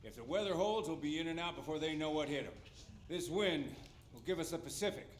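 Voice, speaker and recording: Actor as male naval commander, firm briefing, higher-pitched, roomy echo, faint noise. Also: animated, a little fast, lightly acted. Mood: firm, rousing. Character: commanding American naval squadron officer giving briefing. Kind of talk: military briefing, video game acting.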